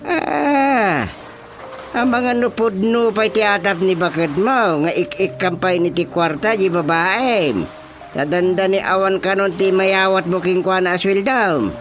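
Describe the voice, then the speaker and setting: A man playing a deliberate village chairman who mediates quarrels, in an Ilocano radio comedy drama. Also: high-pitched, fairly bright tone, soft background music. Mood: incredulous.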